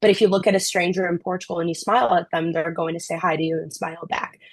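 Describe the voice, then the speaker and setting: A young woman from Brazil talks through her answers in a loose, chatty way, scattering "like" and "um" as she goes. Her voice sits a little low. The sound is dry and close, with almost no room around it. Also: little pitch movement, fast, no echo. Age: young adult. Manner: chatty, frequent "like" and "um" fillers. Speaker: program alum, student. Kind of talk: casual Q&A answers.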